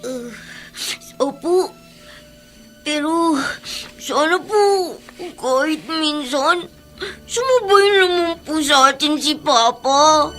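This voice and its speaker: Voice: sits high, expressive, slow, pauses often, fairly bright tone, soft background music, full character voice, poor audio. A performer playing a tearful, polite young child in a Tagalog radio drama, pleading plaintively with a Filipino accent. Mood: pleading, sad.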